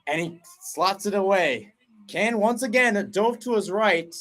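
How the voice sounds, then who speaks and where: A young man doing excited play-by-play commentary of a soccer penalty shootout, with his pitch and volume leaping at each kick. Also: measured pace. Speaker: radio play-by-play announcer. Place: at home, not at the stadium.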